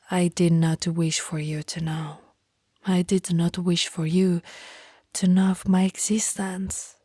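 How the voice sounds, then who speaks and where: A woman's voice: fairly low pitch, dark tone, no room sound, clean studio sound, heavily acted. A woman voicing a lovestruck female vampire in a whispered ASMR roleplay, courtly and formal, menacing yet apologetic. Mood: confessing. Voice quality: breathy, largely whispered. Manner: slow, formal, old-fashioned romantic phrasing.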